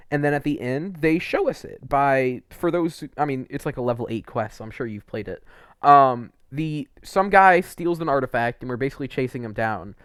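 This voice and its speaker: A man's voice: a little high, dry recording, studio quality. A young man giving casual, unscripted video game commentary in an informal, enthusiastic way, with some mild swearing.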